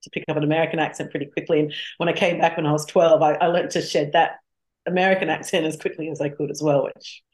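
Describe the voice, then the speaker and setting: A woman's voice: low voice, fairly even intonation, a little fast, darkish tone. A middle-aged woman with an Australian accent, speaking frankly and reflectively as an interview guest. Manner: frank and reflective, laughs easily. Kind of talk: candid interview conversation.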